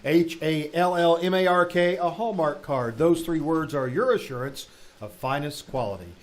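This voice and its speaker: A man's voice: a little high, normal speed, close to an everyday voice. A man playing a polished 1940s radio announcer, reading scripted sponsor messages. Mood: assured, promotional. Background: music.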